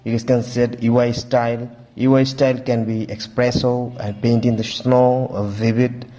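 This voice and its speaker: A man giving a casual, halting walkthrough as a tech reviewer, often repeating stock phrases. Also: mid-range voice, level tone, mellow, low-quality recording. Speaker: tech reviewer, video host.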